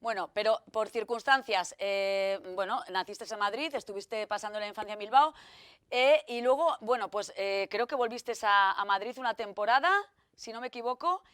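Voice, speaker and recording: A woman's voice: mid-range voice, normal speed, fairly bright tone, clean tone, dry recording, studio quality. A woman hosting a regional TV magazine, chatting through an interview with lots of fillers and self-corrections. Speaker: TV show host.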